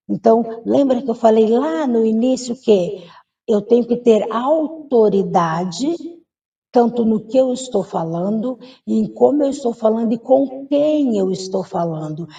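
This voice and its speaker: A woman's voice: fairly high pitch, warm tone, distant mic, muffled recording. A woman speaking as a guest expert, explaining patiently with rhetorical questions and hesitant fillers. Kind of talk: studio discussion.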